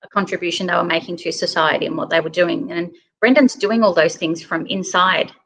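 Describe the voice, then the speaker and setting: A woman's voice: on the low side, fairly even intonation, brisk, gravelly, a bit bright, low-quality recording. A woman speaking as a guest in a casual discussion, explaining her points conversationally. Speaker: guest on the show.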